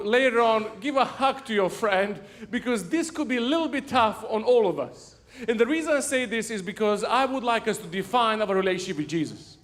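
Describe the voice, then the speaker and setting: Joking pastor, high voice. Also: male voice, fairly bright tone. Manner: jokey and self-mocking, laughs at his own stories. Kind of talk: humorous sermon storytelling. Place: church sanctuary.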